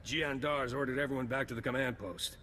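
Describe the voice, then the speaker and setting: An actor playing a gruff male intelligence agent in a video game, curt and matter-of-fact. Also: medium pitch, fairly even intonation, fairly quick, sharp tone, a little hiss or hum, natural voice. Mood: brisk. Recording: game audio with long reverb.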